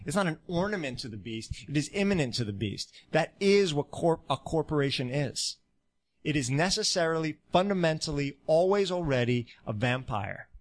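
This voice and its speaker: A man's voice: fairly high pitch, lively intonation, normal speed. A man, a guest speaker, lecturing with rhetorical repetition. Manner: rhetorical, repeating phrases for emphasis. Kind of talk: impassioned lecture.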